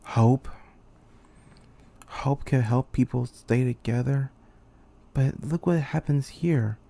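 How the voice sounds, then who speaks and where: A man's voice: medium pitch, slow, gravelly, mellow. A man acting an amateur dramatic monologue as a weary, bitter young student commander, brooding and intense. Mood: bitter, disillusioned. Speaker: aspiring voice actor.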